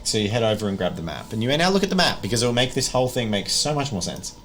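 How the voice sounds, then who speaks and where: A man's voice: medium pitch, very animated, rapid-fire, a crowd in the background. A man narrating an improvised tabletop game as game master, explaining things in a casual, conversational way.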